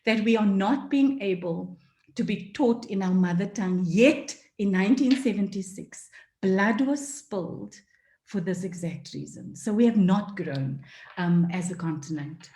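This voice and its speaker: Earnest woman giving a talk on a video call, mellow voice, lots of ums, roomy echo. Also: mid-range voice, unhurried. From South Africa. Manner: earnest, many fillers, emotional at times. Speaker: forum speaker. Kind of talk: passionate talk.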